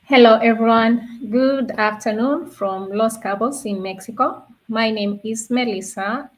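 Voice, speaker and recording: A woman's voice: fairly high pitch, unhurried, poor audio. A woman from Kenya co-hosting a live online talk and presenting to viewers. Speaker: co-host of an online business talk show.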